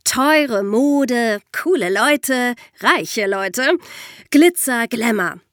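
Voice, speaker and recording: A woman co-hosting a scripted true-crime podcast, narrating in a lively, lightly playful way. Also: fairly high pitch, very slow, no room sound, clean studio sound. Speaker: podcast co-host.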